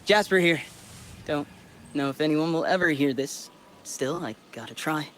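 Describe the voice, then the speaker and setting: A man's voice: a little high, moderate pace, lightly acted. An actor playing a lonely male colonist survivor, bitter and weary, rambling through a desperate audio log for a game, with faint ambient noise behind.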